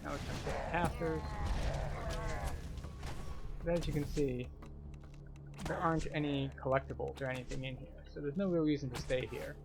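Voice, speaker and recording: A man's voice: on the high side, fairly even intonation, moderate pace, gravelly, pauses often. Man guiding gameplay over noisy game ambience. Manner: explanatory, step-by-step. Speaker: game streamer and tour guide. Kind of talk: live gameplay commentary.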